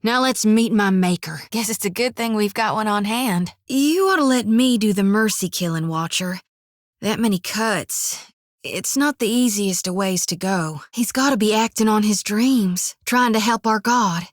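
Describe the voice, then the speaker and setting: A woman's voice: medium pitch, animated, unhurried, no echo, lightly acted. An actress voicing a devout young woman priest in a fantasy game, talking in an earnest, folksy way. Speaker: companion character in a fantasy game. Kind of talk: video game voice acting. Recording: dry studio.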